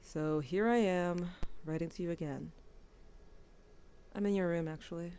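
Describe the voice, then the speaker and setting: A woman's voice: fairly low pitch, fairly even intonation, moderate pace, warm tone, slight background noise, lightly acted. A woman voicing a grieving teenage boy who writes to his dead brother, wry and bitter, with a subdued tone. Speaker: narrator. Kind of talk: audio drama monologue.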